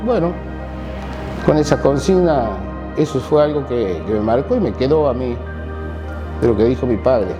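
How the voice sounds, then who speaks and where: A man in his sixties from Catamarca with an Argentine Spanish accent, giving a halting war testimony full of repeated words and restarts. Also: medium pitch. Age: 60s. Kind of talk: recorded personal testimony.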